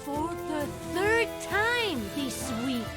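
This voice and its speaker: A bold young swordswoman in a video game. She speaks high and expressively, with teasing, theatrical confidence. Music runs clearly underneath. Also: very animated, slow, exaggerated voice. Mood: wry, indignant. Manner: self-assured, teasing, playfully dramatic. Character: cocky, daring young swashbuckling swordswoman heroine. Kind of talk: lively video game cutscene acting.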